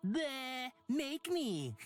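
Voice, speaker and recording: A breezy, teasing young traveller, swooping intonation. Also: female voice, sits high, wildly swooping pitch, drawn-out words, exaggerated voice. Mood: playful teasing. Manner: playful, teasing. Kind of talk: visual novel voice acting. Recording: music behind the voice.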